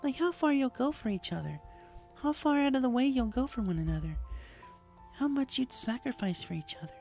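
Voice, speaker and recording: Woman voicing a gentle high-pitched mare, calmly advising. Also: creaky. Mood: gently advising. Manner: soft-spoken, measured, earnest. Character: calm, gently cautioning mare pony recovering from injury. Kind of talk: fan fiction character dialogue. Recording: music behind the voice.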